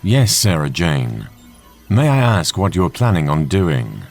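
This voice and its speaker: A man playing a courteous, composed supercomputer in an audio drama, formal, deliberate and obliging. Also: deep voice, big pitch swings, over music. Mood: calm, obliging.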